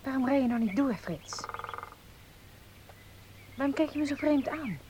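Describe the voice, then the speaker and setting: A woman's voice: high voice, lively intonation, unhurried, mellow. An actress playing an earnest, devout and resolute young woman in a radio drama, with polite, formal diction. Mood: uneasy, reproachful. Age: young adult. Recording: noisy old radio play recording.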